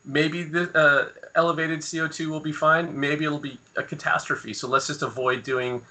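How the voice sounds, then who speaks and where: A man's voice: a little high, fairly even intonation, moderate pace, bright, forceful tone. A man co-hosting a podcast, talking through his views in casual discussion. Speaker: podcast co-host.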